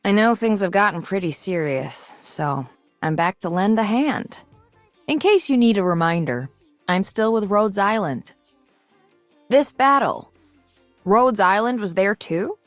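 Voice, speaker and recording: A woman voicing a mature, resolute and idealistic swordswoman in a video game, composed and earnest in her measured delivery. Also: medium pitch, animated, dry recording, soft background music, natural voice. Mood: steady, determined. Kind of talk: scripted video game character lines.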